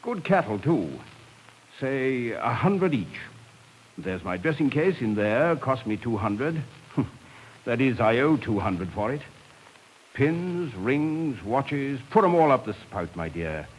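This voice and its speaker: An actor playing a bluff, devoted English cavalry officer with a clipped manner in a 1950s radio drama. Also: male voice, medium pitch, big pitch swings, takes it slowly, low-quality recording. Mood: bluff, resolute. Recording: hissy 1950s radio recording.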